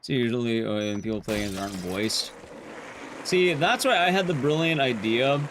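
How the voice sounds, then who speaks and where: A young man streaming to his chat, talking casually, rambling and chatty with sudden jumps in pitch. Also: moderate pace, noisy background.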